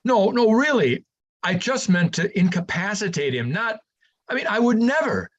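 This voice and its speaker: A woman's voice: medium pitch, very animated, normal speed, muffled recording. A woman playing a guilt-ridden, defensive scientist with a faltering, pleading manner in a staged play reading. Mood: panicked, defensive.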